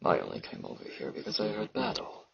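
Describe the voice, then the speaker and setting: A man acts out a gruff, aloof knight bred for war in an ASMR fantasy roleplay. His deep voice is heavily creaky and husky, with sibilant S sounds. He talks in terse, halting phrases, socially awkward and low-key, close to the mic in a slightly dull recording. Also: wildly swooping pitch, full character voice. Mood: gruff, concerned. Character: gruff, aloof young battle-hardened genetically modified fantasy knight.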